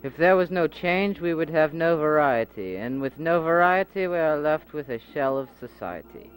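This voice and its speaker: A man's voice: fairly high pitch, lively intonation, moderate pace, smooth, soft background music, low-quality recording. A man in a home-made audio drama, debating philosophy earnestly and stumbling over repeated restarts. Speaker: amateur audio drama performer voicing several characters. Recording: faint tape hiss from an old cassette.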